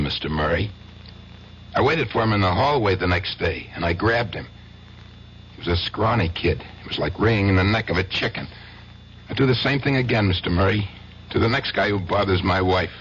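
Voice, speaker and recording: An actor playing an obsessively jealous, unrepentant husband in a 1970s radio drama, plain-spoken and self-justifying. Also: male voice, low-pitched, a bit bright. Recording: dull, noisy 1970s radio broadcast.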